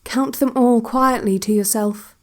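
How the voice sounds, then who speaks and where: Actress as female relaxation cassette guide, very slow, slightly high voice, calm, deliberate, instructional, music behind, audio drama. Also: lightly acted. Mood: calm, hypnotic. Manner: measured, deliberate, instructional, with short spaced sentences. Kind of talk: slow guided visualization, scripted second-person narration. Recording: music bed under the voice.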